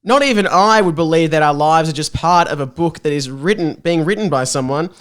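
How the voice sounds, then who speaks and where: A man's voice: fairly high pitch, brisk, smooth. An Australian man guesting on a comedy podcast, reading fan fiction aloud and bantering, giggly, sarcastic and sweary.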